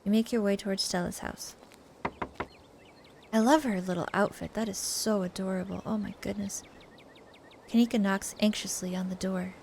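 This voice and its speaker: A woman reading a visual novel aloud and commenting on it, with expressive, gushing asides. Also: medium pitch, normal speed, vocal fry, pauses often, slight background noise. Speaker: gaming video creator reading and reacting to a visual novel. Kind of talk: playthrough commentary with character voices and narration. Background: game music.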